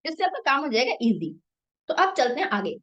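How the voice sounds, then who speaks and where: A woman's voice: sits high, big pitch swings, a little fast, fairly bright tone, muffled recording. Woman teacher with a sore throat. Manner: explains step by step, asks and answers own questions. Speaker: math teacher. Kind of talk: Hindi-English math lesson.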